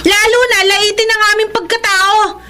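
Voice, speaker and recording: A poor orphan girl, the feisty younger sister in a radio drama. Her voice is extremely high and childlike. She sounds plucky and questioning, over faint background noise. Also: level tone, moderate pace, bright, forceful tone. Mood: indignant.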